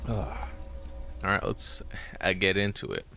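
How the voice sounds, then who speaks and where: A man's voice: low voice, measured pace, vocal fry, soft background music. A male streamer giving casual, rambling gameplay commentary with a nasal, congested voice, as if he has just woken up. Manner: rambling, relaxed, many fillers.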